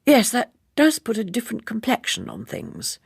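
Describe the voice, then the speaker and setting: A woman's voice: on the high side, wildly swooping pitch, moderate pace, creaky, slightly rough, no room sound, studio quality, pitched above the performer's own voice, full character voice. A woman voicing an earnest, polite young male novelist with a grave, deferential, mannered delivery. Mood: hesitant, thoughtful.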